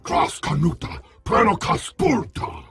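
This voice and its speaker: An actor playing a gruff alien crime lord with a rough, husky voice, speaking an invented tongue in a video game. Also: male voice, a little high, wildly swooping pitch, a little slow, a bit bright, full character voice. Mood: domineering. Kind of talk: video game character acting. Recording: music under the voice.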